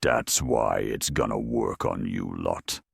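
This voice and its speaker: A man's voice: rumbling low, slow, creaky, husky, dry recording, studio quality, exaggerated voice. A man voicing a cunning, self-assured ork tank-hunter in an audio story, with punchy, slangy speech. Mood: smug.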